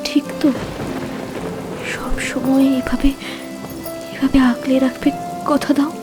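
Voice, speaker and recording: A woman playing a shy, emotional young woman in love in an animated romance, speaking tenderly and hesitantly. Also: high voice, slow pace, over music. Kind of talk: animated romance drama voice acting.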